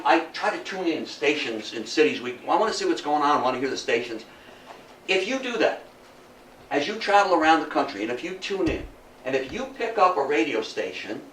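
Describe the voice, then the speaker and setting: A man's voice: on the high side, fairly bright tone. Echoey, emphatic older man giving a talk. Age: 70s. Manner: emphatic, storytelling, rhetorical questions. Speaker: guest lecturer on radio history. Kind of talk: public history talk to a live audience. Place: indoor room at a public talk. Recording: echoey room, distant mic, faint noise.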